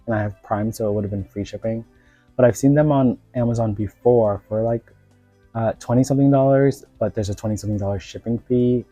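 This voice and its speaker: Man with a cold, low and dark tone, rambling doll-review vlog chat, dry close sound. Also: no room sound. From United States. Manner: rambling, many filler words. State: sick with a cold.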